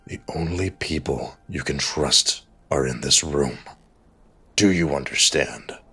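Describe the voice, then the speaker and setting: A man voicing a gruff, battle-weary, protective mentor in an audiobook, with a growling, blunt delivery and a gravelly rasp. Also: a bass voice, slow, bright, forceful tone, soft background music, heavily acted. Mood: fierce, protective. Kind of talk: audiobook character dialogue.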